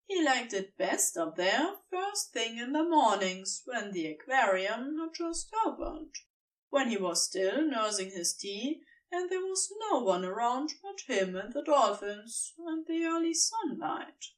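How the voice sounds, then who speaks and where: A woman narrating scripted fiction as an audiobook reader, with expressive storytelling and deliberate phrasing. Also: a little high, recorded from a distance, rough recording.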